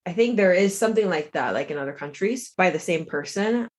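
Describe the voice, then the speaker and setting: A woman in her thirties who grew up in South Korea answers interview questions about her path into law. She talks in a reflective, chatty way, full of "like" and small restarts. Her voice sits a little low for a woman. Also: expressive, brisk, a little mellow. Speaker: guest, lawyer and health coach.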